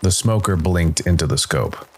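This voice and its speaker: A man's voice: low-pitched, moderate pace, slightly rough. A man reading a dramatic science fiction story as a scripted narration.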